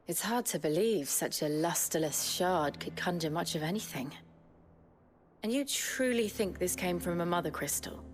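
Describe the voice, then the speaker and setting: A woman's voice: lowish voice, animated, moderate pace, a little hiss or hum, natural voice. An actress playing a composed, sceptical young woman in a fantasy video game. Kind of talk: dramatic video game acting.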